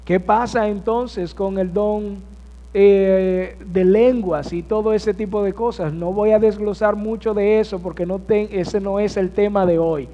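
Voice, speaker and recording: A high-voiced man preaches from the pulpit of a church, taking his time over each phrase. He keeps asking the congregation questions and answering them himself. The sound carries a long reverb from the sanctuary. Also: steady tone, a little slow, lo-fi sound. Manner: addresses congregation with rhetorical questions. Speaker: pastor. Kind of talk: sermon.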